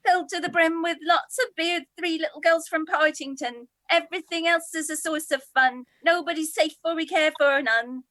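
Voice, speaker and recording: A woman's voice: extremely high pitch, fairly bright tone, dry recording. An actress playing a cheerful, chatty village woman, a playful amateur singer in a radio comedy. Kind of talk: radio comedy drama acting.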